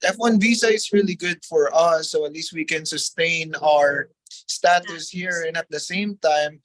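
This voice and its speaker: A man's voice: fairly high pitch, measured pace, a bit bright, dry recording, poor audio. A man from the Philippines with a Filipino accent and Tagalog as his native language, speaking politely as a guest in a testimonial interview.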